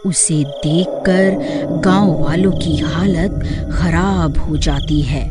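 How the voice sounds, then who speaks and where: A woman's voice: low-pitched, takes it slowly, loud music behind the voice. A woman narrating a scripted horror story as its storyteller.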